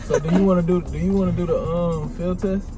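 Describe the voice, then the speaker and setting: High-voiced young man, casual slangy host, car interior, music in background. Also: fairly even intonation, quick pace. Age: young adult. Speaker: host of a blind date video. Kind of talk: casual slangy hosting chat. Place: inside a parked car.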